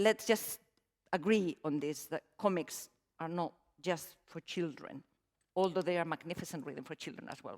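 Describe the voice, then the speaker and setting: A middle-aged woman from Spain with a Spanish accent, giving a conference talk in a warm, self-deprecating way with frequent fillers. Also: mid-range voice. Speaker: conference speaker. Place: large conference hall. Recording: reverberant hall, stage mic.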